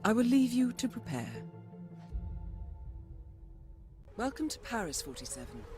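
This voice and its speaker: Female game handler: cool, formal, articulate, lively intonation, music underneath. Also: a little high, fast talker. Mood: poised, dryly encouraging. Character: poised, cool female handler briefing an assassin. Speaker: mission briefing handler. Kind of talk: scripted video game mission briefing.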